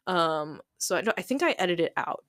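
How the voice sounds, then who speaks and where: A woman's voice: on the low side, sing-song, brisk, a bit bright, no room sound, studio quality. A woman chatting casually and digressively over a hobby craft video, self-deprecating and giggly, often voicing her own thoughts as she goes. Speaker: hobby planner video creator.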